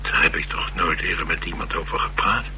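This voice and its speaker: A man's voice: low-pitched, steady tone, brisk, bright, forceful tone. A man with a Netherlands Dutch accent playing a blunt, world-weary chauffeur in a radio drama, confiding and sardonic. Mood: astonished, confiding. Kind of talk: radio drama acting, colloquial storytelling. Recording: radio drama with faint hum and noise.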